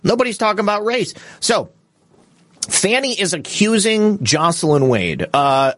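Man, slightly high-pitched voice, live news commentary, indignant and scornful, crude humor, casual asides, dry close sound. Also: sing-song, a little slow, a bit bright, no room sound. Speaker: host of a political commentary livestream.

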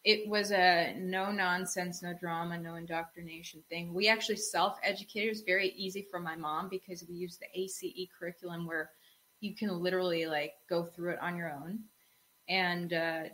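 A young woman co-hosting a casual podcast chat, telling relaxed stories and laughing often. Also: lowish voice, moderate pace, low-quality recording. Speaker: co-host.

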